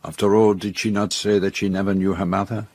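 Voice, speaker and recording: An actor playing a confident, methodical detective in a radio drama, speaking formally and deliberately as he lays out a case. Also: lowish voice, level tone, a little mellow, husky, no echo. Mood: accusing. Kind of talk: radio drama acting, detective's denouement monologue.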